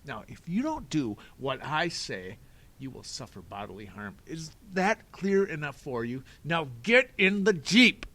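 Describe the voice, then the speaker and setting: A man voicing a sneering, scheming villain who talks with mock politeness and drawn-out, theatrical menace. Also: a little high, big pitch swings, bright, forceful tone, pitched above the performer's own voice, full character voice. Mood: menacing.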